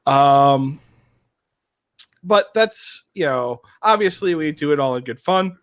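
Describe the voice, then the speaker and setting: A man's voice: fairly high pitch, expressive, slow. A man leading a gaming guild, talking on a casual live-stream panel, joking and rambling with lots of fillers.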